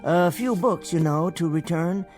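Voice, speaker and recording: A man's voice: on the high side, measured pace, darkish tone, faint music behind, close to an everyday voice. A man playing a meek, bookish, absent-minded bank teller in a radio drama, fussy, rambling and earnestly apologetic. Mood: fretful chatty.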